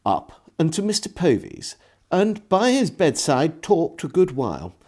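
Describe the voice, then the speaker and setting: Male storyteller, slightly high pitch, very animated intonation, deliberate pauses, literary diary narration. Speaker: storyteller reading a historical diary aloud.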